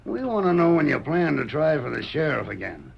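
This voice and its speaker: A gravelly scheming outlaw. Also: male voice, a little high, big pitch swings, brisk, exaggerated voice. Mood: scornful. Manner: scornful, impatient. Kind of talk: 1930s radio drama acting. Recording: dull 1930s radio recording.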